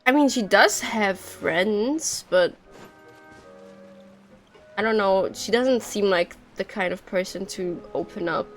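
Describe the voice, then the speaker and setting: A woman's voice: mid-range voice, slow pace, long pauses. A woman game streamer giving casual live commentary, wry, offhand and joking. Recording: game music behind the voice.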